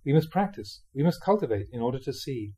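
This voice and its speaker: A man reading Buddhist teachings aloud like a monk giving a dharma talk, with measured, emphatic phrasing. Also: mid-range voice, big pitch swings, mellow, husky.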